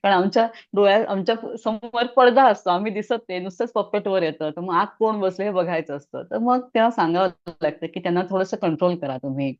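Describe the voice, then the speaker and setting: The speaker is a middle-aged woman with a mid-range voice, recorded with a dull, lo-fi sound. She tells stories conversationally as an interview guest. Her delivery is animated, as she acts out bits of what people said. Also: mid-range voice, a little fast, few pauses.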